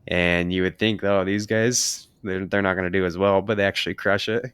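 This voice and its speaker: A man's voice: deep voice, level tone, fairly quick, a bit bright, clear, resonant tone, dry recording. A man hosting a podcast, asking long, rambling questions packed with fillers. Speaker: podcast host. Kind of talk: casual podcast interview.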